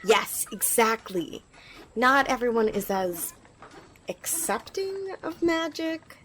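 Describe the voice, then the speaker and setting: A woman's voice: on the high side, big pitch swings, slow, a bit bright, slight background noise, full character voice. A woman playing an eager, earnest, slightly nervous magical squire in an ASMR roleplay, talking to the listener in a hesitant, rambling, reassuring way. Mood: friendly, reassuring.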